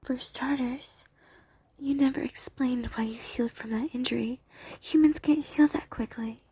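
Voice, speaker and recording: Woman playing a breathy, high-voiced succubus. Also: fairly even intonation, normal speed, dark tone, dry recording, full character voice. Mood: curious. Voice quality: breathy, whispery. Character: curious, easily bored female succubus. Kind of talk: whispered ASMR roleplay drama.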